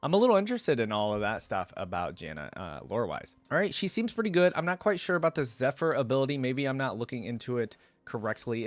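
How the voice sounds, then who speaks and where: A man's voice: fairly low pitch, no echo. A man hosting a reaction video, giving casual, chatty commentary and musing aloud.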